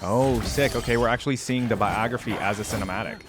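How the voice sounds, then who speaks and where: A man's voice: fairly low pitch, fast talker. A man hosting a reaction video, commenting casually and informally as he thinks aloud, sounding impressed. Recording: music playing behind.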